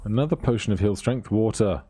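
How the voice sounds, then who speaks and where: A man's voice: fairly low pitch, lively intonation, fairly quick, dark tone, slightly rough. A man giving casual let's play commentary, muttering through items with dry humour.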